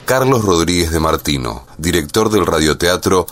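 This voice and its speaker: A man's voice: deep voice, expressive, moderate pace, a bit bright, a little hiss or hum. A man reading scripted programme announcements as a radio announcer. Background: music.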